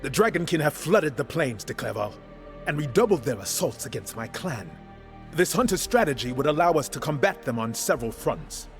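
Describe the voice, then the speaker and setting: An actor playing an indignant, urgent clan leader in a fantasy game drama. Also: male voice, a little high, big pitch swings, normal speed, faint music behind.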